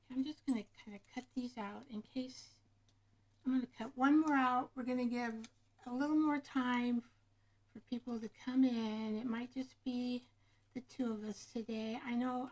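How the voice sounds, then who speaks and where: A woman's voice: fairly high pitch, fairly even intonation, measured pace, a little mellow, rough recording. A woman hosting a casual craft livestream, rambling along and chatting directly with her viewers. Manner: rambling, chatty, often addressing viewers by name. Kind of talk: casual live chat with viewers. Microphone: camera microphone.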